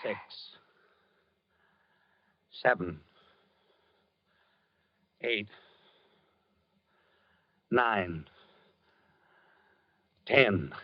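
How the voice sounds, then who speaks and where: An actor playing a doomed gentleman talking in his sleep, formal, halting and courteous, in an old-time radio drama. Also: lowish voice, expressive, no room sound, full character voice. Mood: solemn counting. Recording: dull, muffled vintage radio recording.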